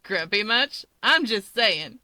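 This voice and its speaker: Brash young superheroine, read by a woman narrator, bright and forceful, mocking, cocky, audiobook style. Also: mid-range voice, expressive, takes it slowly, no echo, full character voice. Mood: gleeful teasing. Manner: mocking, exuberant taunting. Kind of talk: fan fiction audiobook character voice.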